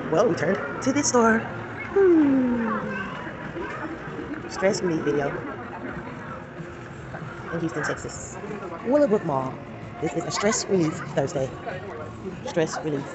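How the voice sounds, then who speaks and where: A woman's voice: on the low side, very animated, slow, pauses often. A woman giving an upbeat, repetitive running commentary while filming a friend shopping in a large indoor mall. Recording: loud music and mall crowd noise.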